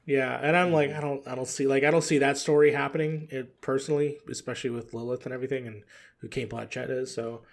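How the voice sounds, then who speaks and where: A man's voice: mid-range voice, steady tone, fast, creaky. A man chatting casually and giving his opinions in a rambling way as a podcast guest. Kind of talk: casual chat.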